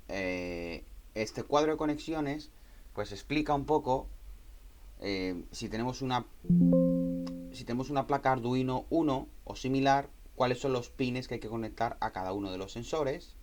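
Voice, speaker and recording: A man's voice: mid-range voice, measured pace, soft background music. A man giving an informal hands-on technical tutorial, explaining step by step and often repeating and rephrasing as he goes. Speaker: tutorial presenter.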